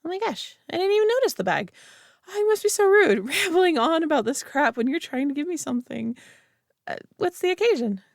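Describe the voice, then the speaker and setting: A woman's voice: extremely high pitch, very animated, brisk, vocal fry, no room sound, clean studio sound, full character voice. A young woman voicing a nerdy friend who rambles eagerly about her tabletop hobby, full of "like" and giggles, in a scripted roleplay. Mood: overjoyed squealing. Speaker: voice actor in an audio roleplay. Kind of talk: scripted roleplay monologue to the listener.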